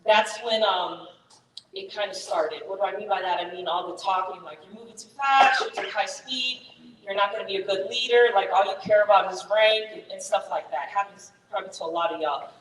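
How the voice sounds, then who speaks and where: A woman in her thirties, raised in Germany with German as her first language, telling her Army career story to fellow soldiers in a candid, energetic way. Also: mid-range voice, fairly quick, reverberant, big-room sound, distant mic, background noise. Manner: candid, energetic, many fillers. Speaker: Army first sergeant, guest speaker. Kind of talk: personal story talk to soldiers.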